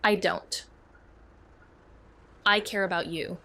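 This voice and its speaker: A woman's voice: mid-range voice, slow pace, vocal fry, exaggerated voice. Actress as a loyal, level-headed young female investigator; frank, grounded delivery. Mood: steady. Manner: steady, protective, frank. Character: loyal young woman sleuth, calm, protective. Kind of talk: audio drama acting.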